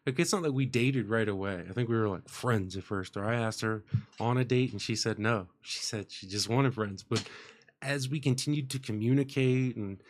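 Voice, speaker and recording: A man's voice: medium pitch, moderate pace. A young American man hosting a podcast in a radio studio, rambling casually with lots of fillers and an upbeat tone. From United States. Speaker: podcast host. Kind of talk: casual chat. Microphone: studio microphone with headphones.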